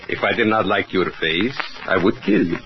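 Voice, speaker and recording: A knife-wielding Mexican killer from an old radio drama, matter-of-fact and amused, with a Mexican Spanish accent. His voice is deep, and the sound is muffled and slightly hissy, like a vintage broadcast. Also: animated, moderate pace. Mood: calmly menacing. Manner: broken English, chuckling, matter-of-fact menace. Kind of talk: 1940s radio acting. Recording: dull old radio broadcast with faint hiss.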